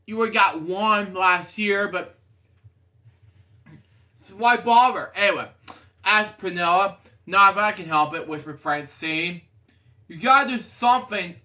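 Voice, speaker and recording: A man with a notably high voice and a bright, forward tone reads a children's storybook aloud. His delivery is casual and unpolished, and he drifts from the text into rambling side remarks. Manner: rambling, digressive, often breaking off to comment. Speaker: storybook reader and show host. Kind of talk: casual read-aloud of a children's picture book with rambling asides.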